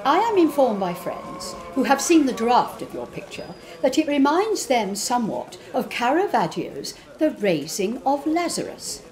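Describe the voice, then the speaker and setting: A woman's voice: fairly high pitch, a little mellow. A woman performing a prim, exacting Victorian nurse in a precise, formal, indignant dramatic poetry monologue.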